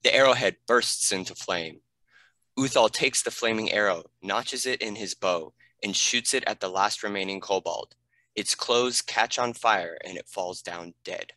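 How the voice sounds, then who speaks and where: Man, script narrator, low voice, bright forceful tone, dry recording. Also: measured pace, no room sound, poor audio. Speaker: narrator reading stage directions.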